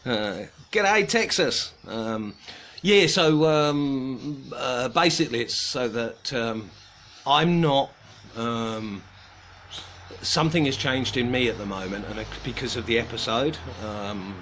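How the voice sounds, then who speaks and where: Halting British-accented man, noisy background. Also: mid-range voice, lively intonation, fairly bright tone. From Australia. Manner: halting, hesitant, many pauses and restarts. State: low mood, subdued. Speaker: livestream host of a carving group. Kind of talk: casual livestream chat, greeting viewers.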